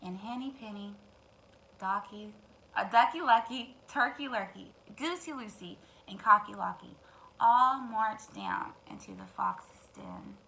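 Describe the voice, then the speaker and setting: A woman narrating a children's story in an animated way, addressing young listeners with wondering questions. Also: medium pitch, measured pace, vocal fry, a little hiss or hum, low-quality recording. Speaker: children's storytime host and reader.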